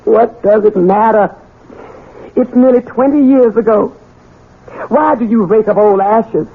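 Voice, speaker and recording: Anxious, husky servant woman. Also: a little high, a little slow. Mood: wary. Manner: anxious, reproachful, deferential. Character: bitter, frightened older female household servant. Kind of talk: 1970s radio drama acting. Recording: muffled narrow-band 1970s radio broadcast.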